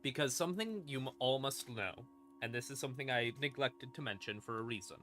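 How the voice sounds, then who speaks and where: Man, bright forceful voice, medium pitch, casual streamer explainer, emphatic dramatic stresses, informal and sweary. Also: animated, brisk. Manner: informal, sweary, conversational asides. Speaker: streamer, host. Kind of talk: casual livestream explainer of game lore. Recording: music playing behind the voice. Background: creepy ambient music.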